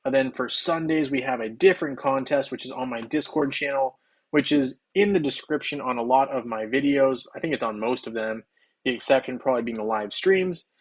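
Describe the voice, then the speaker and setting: A young man hosting a game livestream, his voice a little hoarse and scratchy. He keeps a casual, upbeat patter, welcoming chatters by name in a middle-pitched voice. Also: a little fast. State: slightly hoarse.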